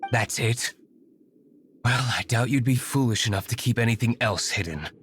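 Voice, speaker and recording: An actor playing a stern, composed nobleman in a video game, speaking in a calm, menacing way. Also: medium pitch, moderate pace, close to an everyday voice. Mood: cold. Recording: game audio with music behind.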